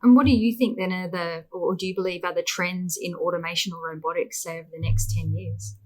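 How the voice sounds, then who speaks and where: A woman's voice: on the low side, steady tone, a little fast, a little mellow. A woman hosting a podcast, asking questions in a casual conversational style. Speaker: podcast host, interviewer. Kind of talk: casual interview chat.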